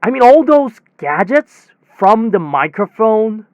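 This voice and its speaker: A man playing a technician in an audio drama, recounting his workday in a halting, rambling, repetitive way, often interrupting himself. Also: high voice, very animated, no room sound, highs cut off, exaggerated voice. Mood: awed, searching. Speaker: air conditioner installation technician. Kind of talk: conversational audio drama monologue.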